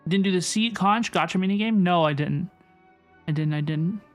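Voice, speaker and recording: Animated woman livestreamer over game music. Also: on the low side, moderate pace. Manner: animated, repeats questions, reads chat aloud. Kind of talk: casual gaming livestream reaction, chatting with viewers.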